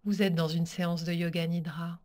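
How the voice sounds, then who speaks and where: A woman teaching yoga nidra, leading a guided relaxation in a calm, soothing, measured manner with pauses between phrases. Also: fairly low pitch.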